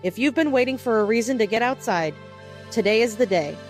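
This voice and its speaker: A woman's voice: a little high, lively intonation, brisk, soft background music, close to an everyday voice. A woman playing a composed, cheerful morning TV news anchor in an audio drama, polished and chatty with a light wry touch. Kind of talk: broadcast news reading.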